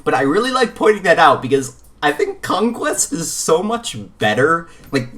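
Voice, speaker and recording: A man's voice: on the high side, sing-song, normal speed, a bit bright. Rambling, opinionated man chatting about games. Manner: rambling, opinionated, casual swearing. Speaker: podcast co-host. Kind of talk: casual gaming chat.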